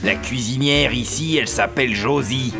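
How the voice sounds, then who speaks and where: A man's voice: medium pitch, normal speed, vocal fry, music in the background, close to an everyday voice. A man playing a blunt, stubborn resort boss in an audio comedy, matter-of-fact in manner. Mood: unbothered. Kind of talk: comedic audio drama acting.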